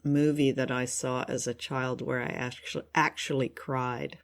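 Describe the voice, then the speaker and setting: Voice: mid-range voice, level tone, measured pace. A co-host of a radio drama review show, chatting casually in an appreciative, effusive way.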